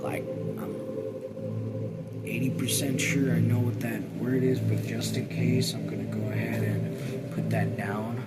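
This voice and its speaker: A man reading a novel aloud informally, halting now and then and repeating phrases. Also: medium pitch, little pitch movement, over loud music. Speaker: reader of a read-along video channel.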